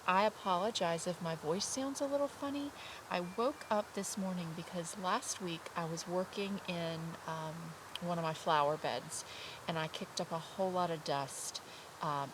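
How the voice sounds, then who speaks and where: A woman's voice: lowish voice, background noise. A woman teaching a kindergarten lesson over video from her garden, her nose and ears stuffed up, speaking encouragingly with many fillers and now and then singing simple teaching songs. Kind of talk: remote lesson to young children. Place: outdoors in a garden by an orange tree. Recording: outdoor recording, crickets and insects behind.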